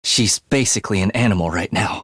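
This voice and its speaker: A man's voice: fairly low pitch, a little fast, fairly bright tone, slightly rough, no room sound. A man voicing a sly young assassin in a video game, with a dry, sardonic wit and a theatrical, teasing manner. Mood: quiet, grave. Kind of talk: video game character voice lines.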